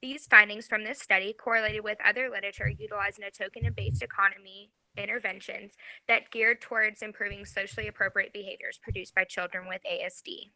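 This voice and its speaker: Young woman in her twenties, bright and crisp, careful scripted student presenter. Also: mid-range voice, brisk, no room sound, poor audio. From Georgia, USA. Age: 20s. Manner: reads from a script, careful and even. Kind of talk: reading a class presentation.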